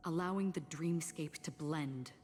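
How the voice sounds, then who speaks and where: An actress playing a composed, serious woman guide in a video game, speaking in a measured, formal, explanatory way. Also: low voice, a little mellow, slight background noise. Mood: grave, explaining. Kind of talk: scripted video game story dialogue. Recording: long reverb, background music.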